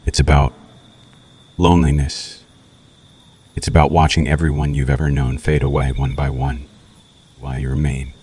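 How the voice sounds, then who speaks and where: A man playing a seductive, menacing male vampire in an ASMR roleplay, speaking deliberately and broodingly with teasing, dramatic pauses. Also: very deep voice, little pitch movement, a little mellow, breathy edge. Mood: ominous, tempting. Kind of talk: slow ASMR roleplay monologue.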